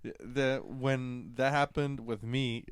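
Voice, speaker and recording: A man's voice: medium pitch, steady tone, takes it slowly, smooth, dry recording, studio quality. A man chatting casually as a podcast co-host, slangy and loose with swear words. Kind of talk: casual movie review chat.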